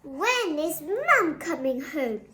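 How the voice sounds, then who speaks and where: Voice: sits high, very animated, takes it slowly, pushed and bright, heavily acted. A child voicing demanding, whiny schoolboy sons from a storybook, squealing and insistent. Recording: roomy, echoing home recording.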